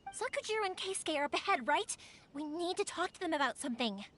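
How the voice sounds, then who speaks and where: A young woman voicing a spirited, caring fireworks maker in a video game, expressive and earnest. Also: very high-pitched, fast, slight background noise, exaggerated voice. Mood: determined.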